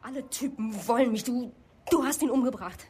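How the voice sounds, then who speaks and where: An actress in a German radio drama playing a distraught, defensive young woman, emotional and halting in delivery. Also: sits high, mellow, husky. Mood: furious, accusing.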